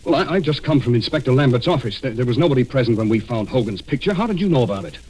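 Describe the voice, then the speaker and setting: A man's voice: mid-range voice, animated, very fast, darkish tone, breathy edge, poor audio. An actor playing an earnest, firm and authoritative man investigating a crime, in 1940s radio drama style. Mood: suspicious. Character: steady, earnest, commanding male amateur sleuth. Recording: hissy vintage radio recording.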